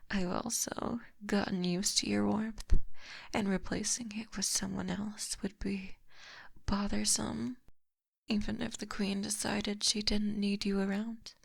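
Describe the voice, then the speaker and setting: Lamia captor played by a woman: breathy, softly menacing, teasing; slow close-mic ASMR delivery with some vocal fry. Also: medium pitch, flat delivery, exaggerated voice. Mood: possessive, soothing. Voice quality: breathy, whispery. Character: possessive, smugly teasing female lamia snake monster captor. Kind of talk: intimate ASMR roleplay. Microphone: close binaural microphone.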